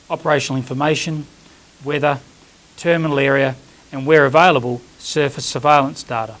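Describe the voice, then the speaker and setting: A middle-aged Australian man presenting scripted corporate narration in a formal, measured way. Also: mid-range voice. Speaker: executive presenter.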